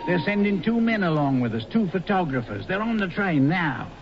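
A man's voice: high-pitched, big pitch swings, moderate pace. An actor in a 1940s radio comedy playing a self-satisfied publicity man, matter-of-fact in manner. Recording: muffled, noisy old radio broadcast.

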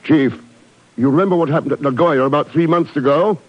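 An actor playing a terse, determined secret agent in a 1950s radio spy drama. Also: male voice, fairly high pitch, fairly quick, lightly acted. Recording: dull vintage radio recording.

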